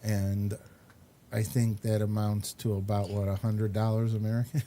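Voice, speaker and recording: A man hosting a casual chat interview, speaking in a halting, unhurried way. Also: lowish voice, flat delivery, dark tone. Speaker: show host. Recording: noticeable background noise.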